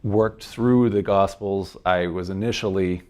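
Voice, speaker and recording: Deep voiced guy, lecturer type chatting on a podcast, thinks out loud, stop and start but articulate. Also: fairly even intonation. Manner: halting, thoughtful, articulate explaining. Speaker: lecturer answering audience questions. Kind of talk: casual personal reflection in a podcast discussion.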